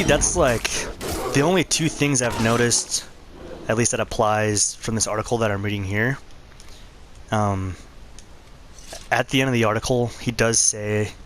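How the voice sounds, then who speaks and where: Hoarse, lowish male vlog host, relaxed and chatty. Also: a little hiss or hum. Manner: laid-back, conversational. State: hoarse, losing his voice. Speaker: channel host. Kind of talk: casual gaming vlog.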